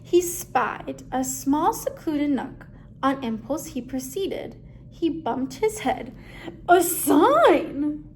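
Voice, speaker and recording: A woman reading a picture book aloud expressively, with measured, dramatic phrasing. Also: high-pitched, expressive, darkish tone, slight background noise. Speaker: story reader.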